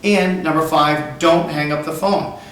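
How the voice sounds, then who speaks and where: A man's voice: fairly high pitch, fairly even intonation, unhurried, fairly bright tone. A man working as a car sales trainer gives an instructional talk, explaining emphatically step by step. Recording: echoey room, distant mic, faint noise.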